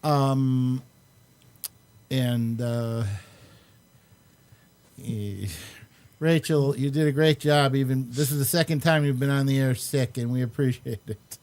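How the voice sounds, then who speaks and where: A man hosting a radio show in a casual, unscripted way, with halting, hesitant phrasing. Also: mid-range voice, fairly even intonation, darkish tone.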